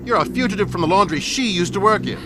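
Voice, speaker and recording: A man's voice: high voice, expressive, fast talker, heavy background noise. A man playing a sneering, slangy, combative Hollywood publicity man in a 1940s radio drama style.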